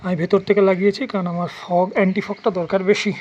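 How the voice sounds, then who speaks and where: A product reviewer talks straight to the viewer in an informal, explanatory way. The voice sits at a middle pitch. The recording carries clearly audible ambient noise behind the practical, hands-on commentary. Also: little pitch movement, quick pace, muffled recording. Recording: clearly noisy ambient background.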